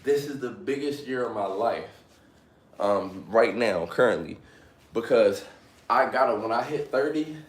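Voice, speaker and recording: A man's voice: fairly low pitch, roomy sound. A man in his late twenties talking casually on a live stream, giving motivational advice and often repeating himself. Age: 20s. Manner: repeats phrases, motivational, fillers like "you know what I'm saying".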